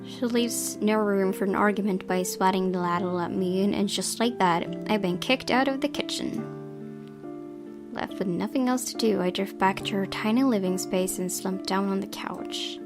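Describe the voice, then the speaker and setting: A woman reading a visual novel aloud as its player, in expressive storytelling narration. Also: medium pitch, normal speed, music in the background.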